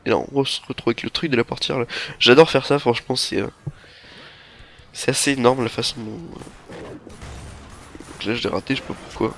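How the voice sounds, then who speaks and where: A young man with a stuffy nose from a cold, giving rambling, halting gameplay commentary into a headset mic. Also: medium pitch, a bit bright, slight background noise. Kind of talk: game walkthrough commentary. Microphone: headset microphone.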